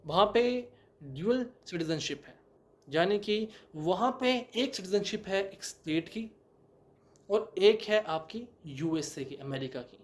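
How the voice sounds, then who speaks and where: A man's voice: high voice, lively intonation, slow. A young man giving a law lecture, asking rhetorical questions and answering them himself, with many English terms mixed in. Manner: mixes English terms into Hindi. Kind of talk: explanatory lecture.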